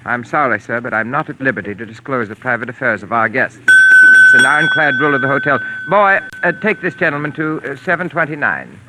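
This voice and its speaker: A man's voice: medium pitch, huge pitch swings, a little fast, rough recording. A man playing a polite, obliging and discreet hotel desk clerk in formal 1940s radio style. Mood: evasive.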